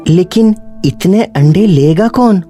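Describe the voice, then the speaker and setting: A woman's voice: lowish voice, lively intonation, slow pace, mellow, soft background music. A woman voicing a humble young village brother in a Hindi cartoon story. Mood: worried.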